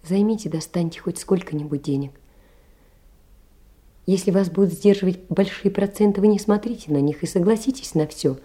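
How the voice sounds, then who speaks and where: A young woman in distress, with a very dark, soft voice pitched a little low. She pleads in a hushed, earnest theatrical monologue, poor but proud. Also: normal speed, husky. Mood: desperate pleading. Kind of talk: theatrical audio drama letter monologue.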